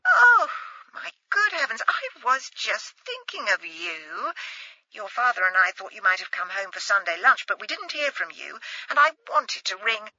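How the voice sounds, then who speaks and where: A woman's voice: mid-range voice, very animated, normal speed, bright, forceful tone, no room sound, full character voice. A woman doing a timid, posh older mother. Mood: timid, fond. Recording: slightly dull, dry recording.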